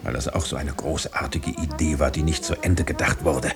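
Deep-voiced, haunted artificial man. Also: fairly even intonation, music in the background. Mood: grim, explaining. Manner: halting, insistent, breaking off sentences. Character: hunted, engineered noise-eating man, haunted and urgent. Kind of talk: German radio drama acting.

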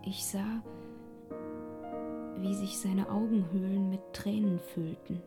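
An actress playing a sorrowful young woman who narrates in a hushed, intimate radio play style. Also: medium pitch, steady tone. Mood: tender sorrow. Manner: hushed, slow, deliberate. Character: sorrowful young woman quietly recounting her ordeal. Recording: guitar and piano music behind. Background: guitar and piano.

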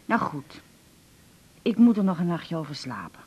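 A very husky, hesitant older lady. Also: fairly low pitch, very animated, normal speed, darkish tone. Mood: wary. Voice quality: very husky, breathy. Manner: doubtful, prim, questioning. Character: hesitant, cautious older woman weighing a proposal. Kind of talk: Dutch radio drama acting.

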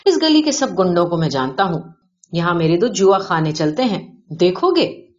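A woman's voice: lowish voice, sing-song. A woman voicing a boastful, shady businessman in a story reading, self-assured and bragging, on a dull recording. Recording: dull, band-limited audio.

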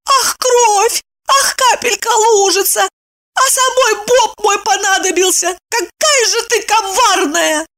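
A woman voicing a melodramatic, indignant young woman in an audiobook, shrill and exclamatory as she scolds her friend. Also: animated, normal speed, pushed and bright, no echo, clean studio sound, full character voice. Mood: lamenting, mocking.

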